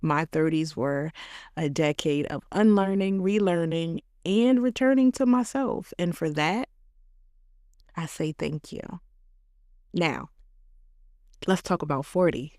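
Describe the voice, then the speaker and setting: A woman in her late thirties hosting a podcast, delivering a reflective scripted monologue in slow, measured, affirming short phrases. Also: low-pitched, mellow, no echo, studio quality. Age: late 30s. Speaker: podcast host.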